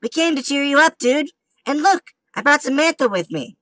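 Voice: high-pitched, lively intonation, moderate pace, fairly bright tone, dry recording. A performer voicing a worried, loyal cartoon schoolboy in an amateur comic dub, earnest and anxious. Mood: encouraging.

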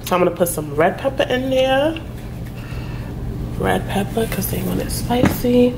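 A woman's voice: a little high, lively intonation, unhurried, gravelly. Young woman, home cooking vlogger, easygoing patter, kitchen noise and sizzle in the background. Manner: chatty, lists ingredients as she cooks. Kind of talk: casual cooking vlog narration.

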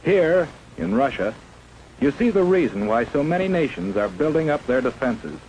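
A man's voice: mid-range voice, sing-song, normal speed, darkish tone, low-quality recording. A man narrating a 1950s propaganda film in an emphatic, declamatory way. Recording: faint hiss of an old 1950s film soundtrack.

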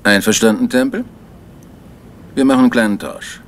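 An actor playing a sly, menacing gangster in a crime radio play, mocking and commanding. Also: male voice, medium pitch, moderate pace, a little hiss or hum, close to an everyday voice. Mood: coolly threatening. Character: sly, menacing male gangster making demands. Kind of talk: 1970s German crime radio play acting.

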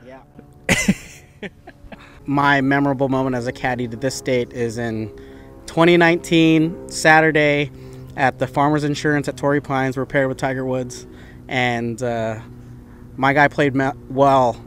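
A man's voice: medium pitch, unhurried, pauses often, soft background music. Easygoing male caddie, loose rambling answers. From Philippines. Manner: relaxed, talks in loose run-on phrases with fillers. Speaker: PGA Tour caddie, guest. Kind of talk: casual interview answers.

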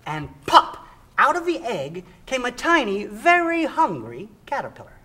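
A woman's voice: fairly high pitch, wildly swooping pitch, measured pace, bright, forceful tone. A woman performing reader's theater, reading a children's story aloud with expression. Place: theater stage.

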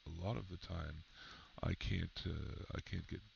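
A man with a very low voice talking casually and halting a bit as he explains, recorded indoors on a headset microphone. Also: steady tone, gravelly, lo-fi sound, slight background noise. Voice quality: very low, deep voice. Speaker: person testing a microphone. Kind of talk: casual spoken mic test. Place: indoors at a computer.

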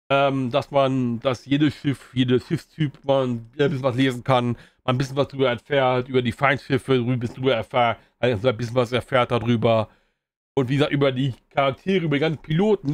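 A man's voice: on the high side, no echo. A man giving a casual spoken review of an old video game, rambling with many fillers and restarts.

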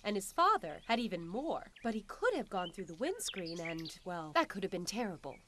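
A woman playing a friendly cartoon teacher, giving scripted, instructive narration to young children in an expressive way. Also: medium pitch, normal speed, a little hiss or hum. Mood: earnest storytelling. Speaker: presenter of children's road safety cartoon. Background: music.

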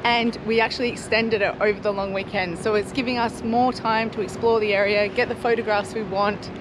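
A woman's voice: mid-range voice, fairly even intonation, brisk, noisy background. A woman, chatting cheerfully to camera in a camper van, filmed with a close handheld camera. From Australia. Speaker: travel vlogger. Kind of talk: casual travel vlog.

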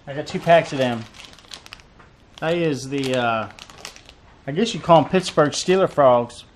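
A man's voice: a little high, a little slow, slight background noise. A man in his thirties chatting casually through a fishing tackle unboxing, rambling and repeating himself with lots of fillers, recorded on a desk camera in a cluttered tackle room. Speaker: fishing vlogger.

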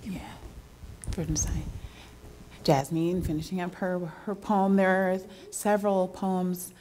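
A woman's voice: on the low side, flat delivery, unhurried, dark tone, a little hiss or hum, poor audio. A woman giving a casual public talk about an arts program, with frequent affirming interjections. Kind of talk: casual presentation to a room. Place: gallery hall with projection screen.

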